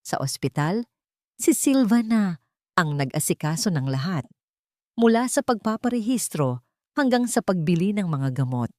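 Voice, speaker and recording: A woman's voice: fairly low pitch, sing-song, normal speed, long pauses, dark tone, dry recording, clean studio sound. A woman with a Filipino accent reading a scripted story as a dramatic narrator.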